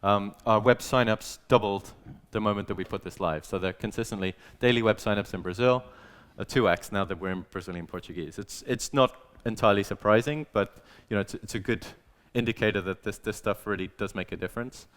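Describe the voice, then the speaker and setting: Smooth, low-voiced man giving an informal talk. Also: a little fast, a bit bright. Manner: casual, explanatory, frequent "like". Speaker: conference speaker, growth manager. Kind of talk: informal business presentation to an audience.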